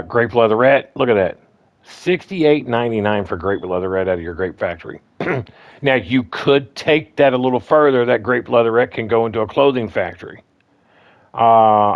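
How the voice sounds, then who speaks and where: A man with a slightly hoarse voice walking through his game farm in a casual, rambling way, full of ums and restarts. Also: lowish voice, no room sound, clean studio sound. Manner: rambling, repeats himself, lots of ums and restarts. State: slightly hoarse, clearing throat. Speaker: gaming guide host. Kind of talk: casual gameplay walkthrough.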